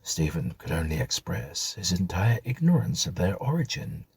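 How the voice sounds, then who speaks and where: A man reading a literary ghost story as an audiobook narrator, in a measured, formal storytelling manner. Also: sits low, very animated, a little mellow, breathy edge.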